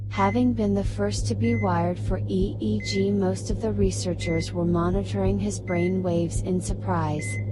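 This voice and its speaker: Woman narrating a horror story. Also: medium pitch. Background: music.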